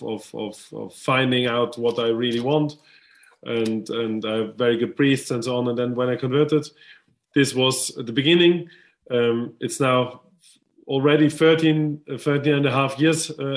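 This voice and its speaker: A young man in his twenties, a native German speaker with an Austrian accent, answering a radio host's questions. He speaks hesitantly and often restarts, at an ordinary pitch. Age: 20s. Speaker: guest.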